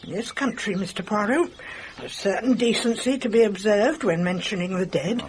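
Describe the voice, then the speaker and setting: An actress playing a prim, snobbish older housekeeper in a radio drama, proper and judgmental in manner. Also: female voice, medium pitch, normal speed, darkish tone, a little hiss or hum, close to an everyday voice. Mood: disapproving.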